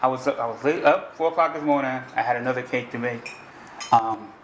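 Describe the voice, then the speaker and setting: A man with a New York accent hosts a relaxed interview, rambling with fillers and restarts. His voice sits in a middle register. The room rings a little, with a faint hiss under it. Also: mid-range voice, fairly quick, reverberant, low-quality recording. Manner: loose, chatty, many fillers and restarts. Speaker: host. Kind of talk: casual interview.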